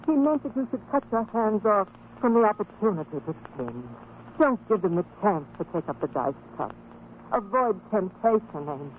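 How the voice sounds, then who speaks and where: A woman's voice: mid-range voice, very animated, moderate pace, lightly acted. An actress playing a devout, firm and hopeful wife and mother in a religious radio drama, speaking with earnest, formal exhortation. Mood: firm pleading. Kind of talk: old-time religious radio drama acting. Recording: tinny, band-limited old radio recording, noisy.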